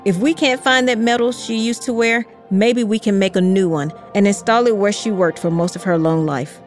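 A woman reading scripted history narration in a measured, carefully articulated storytelling way. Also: mid-range voice, soft background music. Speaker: podcast narrator.

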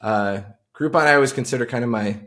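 A man's voice: medium pitch, fast, a bit bright. A middle-aged man from Long Island chatting casually as a podcast guest, telling stories in an informal way. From Long Island, United States. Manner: informal, anecdotal storytelling. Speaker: podcast guest, tech entrepreneur. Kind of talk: casual conversational interview.

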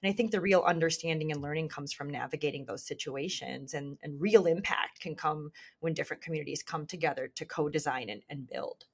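A woman's voice: low-pitched, a little fast, few pauses, breathy edge. A woman co-hosting a conversation, speaking earnestly and reflectively, with many fillers as she works out her thoughts. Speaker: podcast co-host and community leader. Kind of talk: casual conversational interview.

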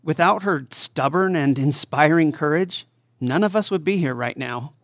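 A man's voice: on the high side, normal speed, fairly bright tone, no echo, clean studio sound, close to an everyday voice. A man playing a supportive doctor and advocate, speaking sincerely and articulately in an audio drama interview. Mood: admiring, sincere. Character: earnest, supportive male doctor and survivor advocate.

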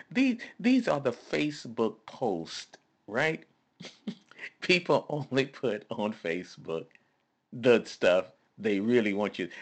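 A man preaching a sermon in a conversational, emphatic way, repeating phrases and calling on listeners to respond. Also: lowish voice.

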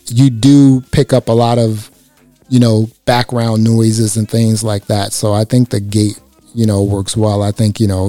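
A man with a slightly raspy voice reviewing a microphone in a casual, chatty way, speaking close into a dynamic mic. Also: medium pitch, dry recording. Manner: relaxed, chatty, many "you know" fillers. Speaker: gear reviewer. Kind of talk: casual product review to camera. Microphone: close dynamic microphone through a processor.

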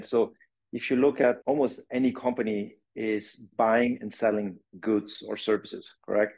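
Swiss-french guy on a business podcast, explains stuff step by step, middle pitch. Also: a little mellow. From Switzerland. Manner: explanatory, walks through points step by step, non-native phrasing. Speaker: company founder and guest.

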